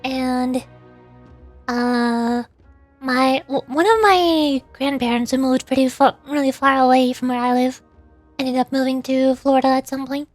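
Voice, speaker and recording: A woman's voice: high-pitched, steady tone, unhurried, no room sound, soft background music. A woman streaming as a VTuber, chatting casually in a playful, rambling way during a game livestream.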